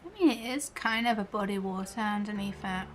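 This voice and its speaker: A woman's voice: medium pitch, brisk, over music. A woman game streamer giving casual, chatty live commentary, rambling along with her train of thought.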